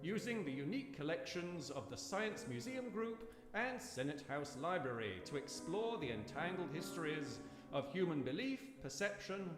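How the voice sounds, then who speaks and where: Male announcer: formal scripted sign-off, expressive pitch swings, music behind, echoey live venue. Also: moderate pace. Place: live performance hall.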